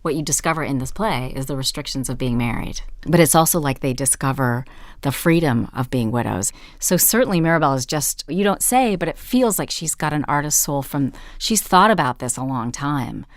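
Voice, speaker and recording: A woman's voice: very deep voice, animated, a little fast, no echo, clean studio sound. A woman guest reflecting emphatically in a conversational panel discussion.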